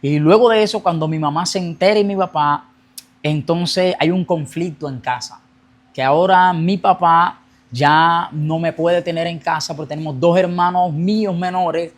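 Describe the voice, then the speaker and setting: A man's voice: a little high, normal speed. A young man telling his personal faith testimony as a guest, earnest, speeding up as he recounts his past. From Colombia.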